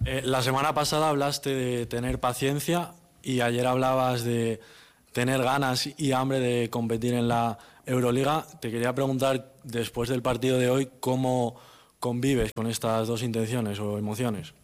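Reporter guy asking a question at a presser, super flat monotone. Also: mid-range voice. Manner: flat, repeats question more slowly.

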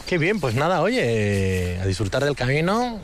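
A man with a middle-pitched voice hosts a travel show, chatting in a lively way as he walks. Faint outdoor noise stays beneath his voice. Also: unhurried. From Bilbao, Spain. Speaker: host. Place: outdoors on a walking trail.

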